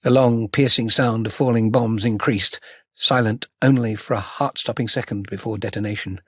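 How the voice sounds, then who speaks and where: A man narrating an audiobook in a scripted, dramatic style, with measured, suspenseful delivery. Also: on the low side, fairly even intonation, slightly rough, no echo, studio quality.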